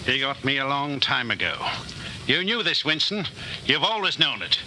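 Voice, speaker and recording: A man playing a calm, menacing Party interrogator in 1940s radio drama style, measured, formal and condescending. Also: medium pitch, full character voice. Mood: cold, controlled. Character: calm, menacing male Party interrogator, patient and coldly superior. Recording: noisy vintage 1940s radio broadcast.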